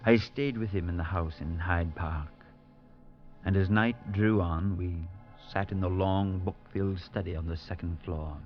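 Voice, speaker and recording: A calm grave priest narrator, measured, low-key, deep voice, dull 1940s broadcast. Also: male voice, faint music behind. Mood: somber. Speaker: Father Murchison, narrator. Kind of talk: 1940s radio acting.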